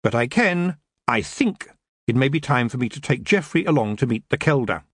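A man's voice: mid-range voice, very animated, normal speed, no echo, clean studio sound. A man voicing a practical young witch girl, speaking matter-of-factly. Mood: firm.

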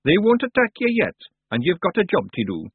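Brash tiny Scottish fairy warrior, high-pitched, cheerful, cocky, storybook character. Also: male voice, wildly swooping pitch, fairly quick, slightly rough, no room sound, narrow, tinny audio, exaggerated voice. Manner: brash, cheerful, dialect-heavy banter. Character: small, cocky, fearless Scottish Feagle clan leader.

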